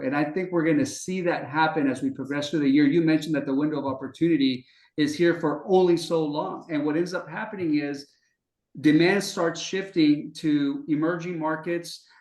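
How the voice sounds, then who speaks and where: A man's voice: fairly high pitch, moderate pace. A man co-hosting a podcast, talking fluently and confidently in long explanations. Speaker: podcast co-host, business development executive. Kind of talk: conversational interview. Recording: echoey room.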